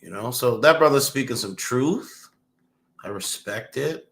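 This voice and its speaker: Bright-voiced male stream host. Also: mid-range voice, lively intonation, measured pace. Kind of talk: live-stream commentary.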